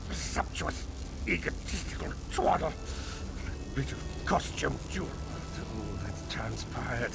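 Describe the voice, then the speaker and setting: An actor playing an arrogant, tormented villain sorcerer from a video game, theatrical, grandiose and bitter. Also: male voice, mid-range voice, very animated, measured pace, creaky, over music, low-quality recording. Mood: bitter self-loathing. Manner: theatrical, grandiose, self-mocking. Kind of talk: dramatic video game acting.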